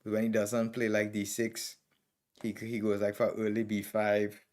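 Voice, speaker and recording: Trinidadian guy streaming chess, muttering moves out loud in quick little bits, kinda low voice. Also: monotone. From Trinidad and Tobago. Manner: thinking aloud, calling out moves in short bursts. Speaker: chess master streaming game reviews. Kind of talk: live commentary on chess games.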